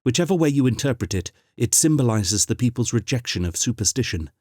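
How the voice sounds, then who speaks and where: A man's voice: medium pitch, animated, a little fast, a little mellow, no room sound, studio quality, voice pushed up, natural voice. A man voicing an earnest, scholarly young woman who explains things carefully. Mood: explaining.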